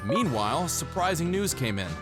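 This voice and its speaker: A man's voice: fairly high pitch, very animated, normal speed. A man playing an ordinary young office worker and loyal boyfriend, narrating and acting in a manga dub over music, earnest and easily exasperated. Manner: earnest, exasperated when provoked. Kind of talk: dubbed manga narration and dialogue.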